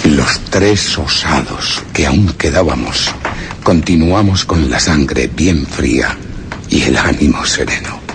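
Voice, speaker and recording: Very deep, gravelly, grave expedition survivor. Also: male voice, lively intonation, heavily acted. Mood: grave, solemn. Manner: measured, formal, ominous. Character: grave male expedition survivor recounting a dreadful journey. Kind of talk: solemn literary horror radio drama narration. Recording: very noisy radio drama recording.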